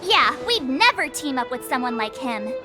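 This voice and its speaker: A woman voicing a spirited, outspoken young adventurer in a video game, brash and expressive. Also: high voice, moderate pace, over music. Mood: protesting. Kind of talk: video game cutscene acting.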